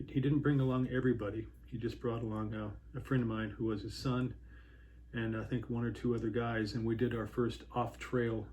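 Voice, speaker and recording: Man in his 60s, dark warm tone, halting storyteller with faint hiss behind. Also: medium pitch. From Washington State, USA. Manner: rambling, many pauses and restarts. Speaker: interviewee, hiker. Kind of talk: casual reminiscing interview.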